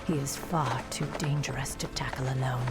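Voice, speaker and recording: A woman's voice: extremely low pitch, steady tone, normal speed. A woman playing a stern elven warden in a fantasy game, speaking with grave, formal authority as a relentless huntress. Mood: commanding. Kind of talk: fantasy game cutscene acting. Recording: orchestral music behind.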